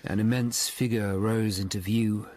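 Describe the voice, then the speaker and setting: An actor voices a watchful Tudor lawyer narrating a radio drama. His voice is on the low side. He tells his account gravely and with measured care. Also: steady tone, darkish tone, natural voice. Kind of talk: scripted first-person drama narration.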